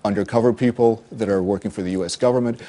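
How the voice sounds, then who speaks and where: Mellow, low-voiced American male expert. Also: fairly even intonation, fast talker. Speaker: expert guest, cybersecurity consultant and former military intelligence officer. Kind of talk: news interview commentary.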